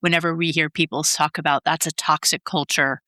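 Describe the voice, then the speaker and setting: A woman's voice: low voice, fairly even intonation, a little fast, bright, forceful tone, no echo, studio quality. A woman hosting a podcast interview, who asks questions attentively and encourages her guest. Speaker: podcast host.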